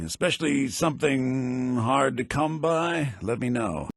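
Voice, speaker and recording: A shrewd man of a fantasy game world, with an animated, mid-pitched voice. He speaks in a dry, sly, theatrical way, like someone with goods to deal and advice to give. The sound is close, with no room on it. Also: gravelly, husky, no echo, full character voice. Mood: sly, hushed. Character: male fantasy townsfolk, merchants and mages, shrewd and wry. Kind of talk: scripted video game character lines. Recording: low-bitrate game audio rip.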